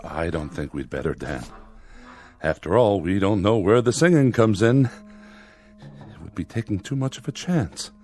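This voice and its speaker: An actor playing a fearful small-town father in a radio drama, anxious and halting, forcing cheerfulness over dread. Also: deep voice, expressive, warm tone. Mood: guarded. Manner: anxious, halting, repeats himself.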